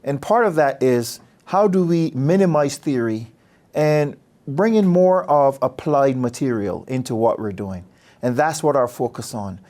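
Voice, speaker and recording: A man from Barbados, a business school dean speaking about his program in a measured, earnest, persuasive way. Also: medium pitch, lively intonation, darkish tone. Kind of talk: promotional interview talk.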